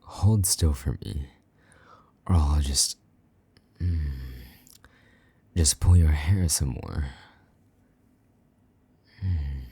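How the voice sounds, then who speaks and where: A man plays a jealous, sadistic male vampire knight in an intimate audio drama. His voice is extremely deep and very husky, often sinking into breathy half-whispers. He speaks slowly, giving taunting commands with condescending possessiveness. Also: warm tone, full character voice. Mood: domineering.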